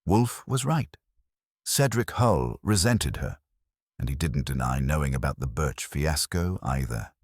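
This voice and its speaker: A man with an extremely deep voice narrates a detective story. His delivery is wry and measured, with lively swings in pitch. The sound is dry, with very little room in it. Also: no room sound. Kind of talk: scripted first-person detective story narration.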